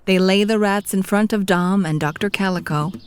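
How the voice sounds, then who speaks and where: A woman's voice: mid-range voice, normal speed, close to an everyday voice. A woman playing a wry, theatrical storyteller, narrating a scripted audio drama in a confiding, grandiose manner. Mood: wry, dramatic.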